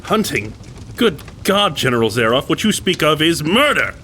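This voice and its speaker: An actor playing a principled young American gentleman hunter, earnest and incredulous, in a dramatized audiobook. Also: fairly high pitch, sing-song, moderate pace, slight background noise. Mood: appalled. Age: young adult.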